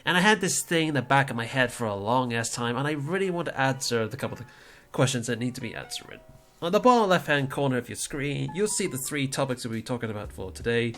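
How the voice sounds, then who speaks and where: A man's voice: mid-range voice, lively intonation, quick pace, a bit bright, soft background music. A man hosting a casual livestream podcast, rambling and teasing as he introduces his friends. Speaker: podcast host.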